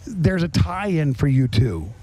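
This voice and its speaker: A man with a fairly high-pitched voice hosting a casual interview. He is chatty and rambles, doubling back on his words as he works toward a question. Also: sing-song, slow pace, warm tone. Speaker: podcast host.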